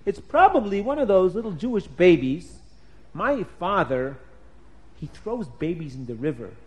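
A man's voice: high-pitched, very animated, moderate pace. A man voicing a kind, naive young princess who chatters and pleads in a raised voice. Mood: chatty, pleading.

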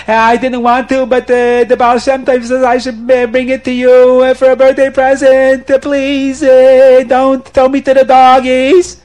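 A man's voice: very high voice, a little mellow, voice pushed up. A man telling a children's story, voicing a frightened, tearful estate manager who stammers and begs for mercy. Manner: stammering, pleading, frantic.

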